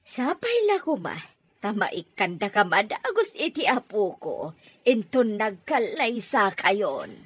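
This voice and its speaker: A woman's voice: mid-range voice, sing-song, takes it slowly, breathy edge, full character voice. A woman with an Ilocano Filipino accent acting a theatrical, coaxing middle-aged mother in a radio comedy-drama. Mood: delighted.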